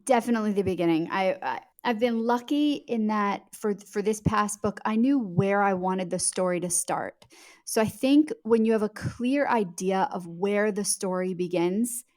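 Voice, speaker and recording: A woman's voice: mid-range voice, normal speed, darkish tone. A woman speaking as an author and TV producer in a conversational interview, telling stories in a reflective, candid and emphatic way, often repeating words for stress. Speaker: author and TV producer, podcast guest. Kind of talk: conversational interview answers, storytelling.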